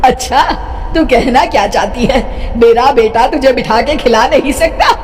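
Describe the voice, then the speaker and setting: A woman's voice: on the high side, normal speed, voice pushed up, lightly acted. Woman doing old huffy traditional mother in law, dramatic and scolding, big echoey reverb. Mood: indignant. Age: older. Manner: huffy, reproachful, emphatic. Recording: reverberant hall sound, faint noise.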